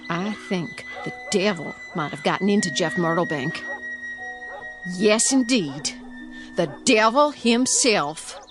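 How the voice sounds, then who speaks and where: A woman's voice: on the low side, animated, takes it slowly, music in the background. An actress playing a gossipy small-town woman with a rural American accent in a radio drama, folksy and conspiratorial. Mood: gossipy, ominous. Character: gossiping small-town woman telling neighbours dark rumours.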